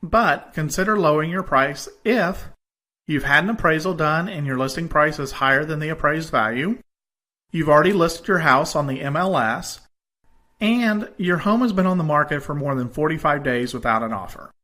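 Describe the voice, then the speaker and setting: A man narrating a scripted lesson as a course instructor, measured and deliberate, leaning on his transition words. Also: on the high side, sharp tone. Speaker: instructor in a home-selling course.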